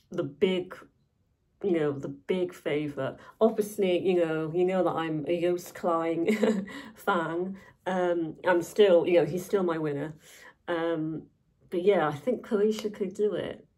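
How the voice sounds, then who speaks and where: A young woman with a lowish voice chatting to camera in an easygoing vlog. She talks slowly, full of ums and likes, with fan enthusiasm. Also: darkish tone. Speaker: vlogger.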